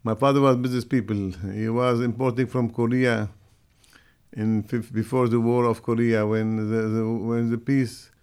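A man's voice: medium pitch, flat delivery, darkish tone, clean tone. Older man with heavy Brazilian accent. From Syria. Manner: halting, restarts mid-phrase, plain direct phrasing. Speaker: guest. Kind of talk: interview answers.

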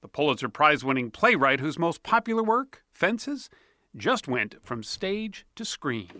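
A male correspondent narrates a scripted television profile. His voice sits a little high and bright, with lively, animated intonation. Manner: polished, measured storytelling.

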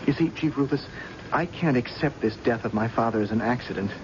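A man's voice: medium pitch, a little fast, natural voice. An actor playing a grim, determined young man bent on revenge in a radio drama, speaking in a clipped, insistent, menacing way. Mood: intense, grieving. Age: young adult. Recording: muffled, noisy old radio broadcast.

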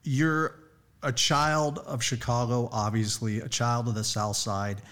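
A man hosting a podcast, reading a scripted introduction and asking interview questions in a measured way. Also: medium pitch, little pitch movement, fairly bright tone, dry recording. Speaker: podcast host.

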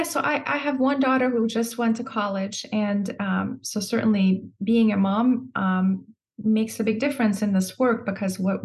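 Gravelly-voiced middle-aged woman guest. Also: mid-range voice, a little mellow. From Iran. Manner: earnest, articulate, with fillers. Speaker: education nonprofit president. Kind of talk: interview guest answers.

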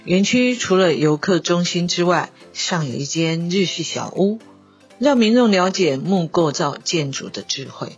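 Female official, low voice, Taiwanese Mandarin accent, formal announcement over music. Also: expressive, a little slow, breathy edge, dull audio. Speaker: local culture and tourism office director.

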